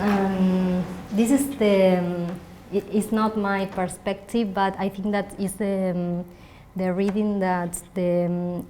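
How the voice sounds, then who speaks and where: Slow, halting Argentine woman speaking English. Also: medium pitch, dark tone. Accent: Argentine Spanish. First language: Spanish. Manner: halting, searching for words. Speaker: academic conference speaker. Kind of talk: academic talk and answering questions. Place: indoor university conference room. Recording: noisy conference room recording.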